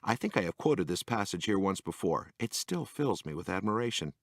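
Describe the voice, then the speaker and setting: A man's voice: low-pitched, sing-song, a little fast, no room sound. A man reading a humorous magazine essay aloud in a wry, dry manner, lifting his voice for each quotation. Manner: dry, wry delivery that lifts into quoted voices. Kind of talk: scripted narration.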